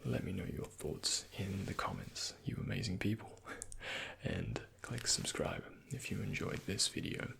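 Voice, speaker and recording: Soft half-whispering man, deep crackly voice. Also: a little slow. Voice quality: breathy, often half-whispered. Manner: gentle instructions with soft reassurance. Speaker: ASMR creator playing a doctor. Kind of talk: soft-spoken ASMR medical roleplay.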